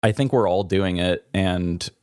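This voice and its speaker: A man's voice: low voice, measured pace, a little mellow, clear, resonant tone, no room sound, studio quality. A man co-hosting a podcast, talking casually and candidly in an earnest, slightly hesitant way. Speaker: podcast co-host.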